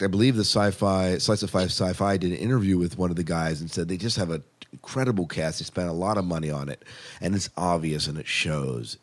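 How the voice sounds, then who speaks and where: A man's voice: sits low, a little mellow. A man co-hosting a podcast in casual review chat, with an enthusiastic, emphatic way of talking. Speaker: podcast co-host.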